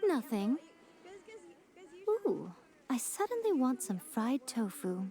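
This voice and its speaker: A woman's voice: a little high, sing-song, slow pace, dark tone, full character voice. A woman voicing a sly, elegant shrine priestess in a video game, playful and arch with a haughty edge. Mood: coy. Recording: game music behind the voice. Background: game music.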